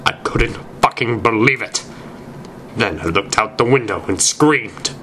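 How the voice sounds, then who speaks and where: A man's voice: lowish voice, lively intonation, unhurried, bright, forceful tone, a little hiss or hum. A man narrating fan fiction aloud in a theatrical reading style.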